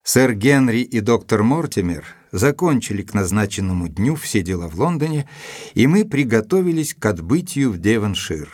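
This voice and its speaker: Low, composed gentleman narrator. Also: big pitch swings. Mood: composed, narrating. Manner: measured, composed storytelling. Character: loyal, composed gentleman companion narrating his memoir. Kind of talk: audio drama narration. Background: music.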